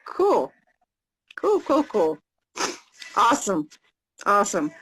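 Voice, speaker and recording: An American woman in her fifties hosting a casual live video chat, upbeat and repeating her questions slowly and plainly. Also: medium pitch, sing-song, a bit bright, a little hiss or hum, poor audio. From United States. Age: 50s.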